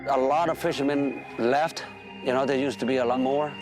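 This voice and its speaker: A commercial fisherman, a man whose first language is Khmer, speaking in a halting, plainspoken way with many repeated words. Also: mid-range voice, fairly even intonation, music in the background. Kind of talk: documentary interview.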